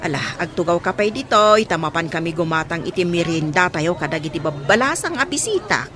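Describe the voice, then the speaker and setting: A woman playing a bossy but warm-hearted shopkeeper aunt in an Ilocano radio drama. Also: on the low side, animated, fairly quick, natural voice. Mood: kindly, coaxing.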